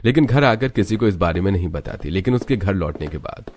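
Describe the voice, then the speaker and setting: A man's voice: low-pitched, animated, a little fast, a little mellow. A man narrating a scripted moral story as a storyteller.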